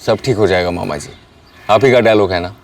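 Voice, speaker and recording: An actor playing a young runaway bridegroom in a TV crime drama, persuasive and reassuring. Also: male voice, deep voice, brisk, recorded from a distance, a little hiss or hum. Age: young adult. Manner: coaxing, reassuring.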